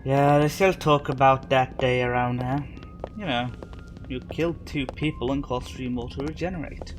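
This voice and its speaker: A man's voice: medium pitch, fairly even intonation, moderate pace, slight background noise, natural voice, poor audio. A man playing a dutiful, wary spaceship mechanic and dock attendant in an audio drama, plain-spoken and deferential. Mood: guarded.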